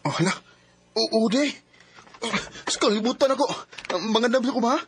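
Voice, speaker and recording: A man's voice: very high voice, brisk, vocal fry, fairly bright tone, full character voice. A man with a Cebuano accent acting a trusting, questioning young boyfriend who can turn suspicious, in a radio drama. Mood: casual. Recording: faint background noise.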